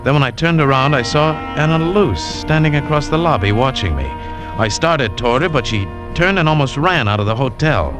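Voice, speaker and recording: An actor playing a determined, wary adventurer who narrates and talks tersely in old radio drama style. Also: male voice, big pitch swings, moderate pace, close to an everyday voice. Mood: brooding, narrating. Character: hard-boiled adventurer hero, male, determined and wary. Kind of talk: 1940s-50s radio drama acting, first-person narration and dialogue. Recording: vintage radio recording over music, train rumble.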